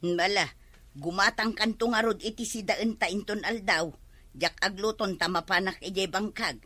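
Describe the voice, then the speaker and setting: A woman's voice: mid-range voice, normal speed, pushed and bright, dry recording. A woman with an Ilocano accent acting a practical, nagging village wife in a comedy drama.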